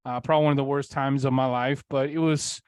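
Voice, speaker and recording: A man's voice: medium pitch, steady tone, fast talker, clear, resonant tone, dry recording. A man in his forties, originally from Bulgaria, telling his story as a podcast guest in a candid, rambling way, with many fillers. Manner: candid, rambling, with frequent fillers and occasional swearing. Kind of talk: casual interview storytelling.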